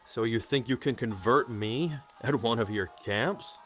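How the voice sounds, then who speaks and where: An actor playing a defiant, righteous captured rebel in an audio drama, with an indignant, dramatic manner. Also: male voice, medium pitch, a little slow, fairly bright tone, soft background music, natural voice.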